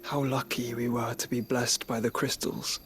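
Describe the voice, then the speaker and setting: A man's voice: medium pitch, flat delivery, normal speed, a bit bright, a little hiss or hum, close to an everyday voice. A man playing a weary, ailing, philosophical duke in a game cutscene, speaking in a formal, eloquent way. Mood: solemn, rueful. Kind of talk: dramatic video game cutscene acting.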